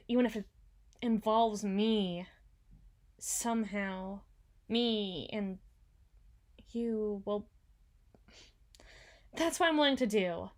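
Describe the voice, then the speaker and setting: A woman's voice: mid-range voice, natural voice. A woman playing a proud but insecure company boss in an ASMR roleplay, speaking formally and wordily with flustered stammers. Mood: awkward, shy. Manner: formal, wordy, haughty yet flustered, stammering. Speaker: voice actor.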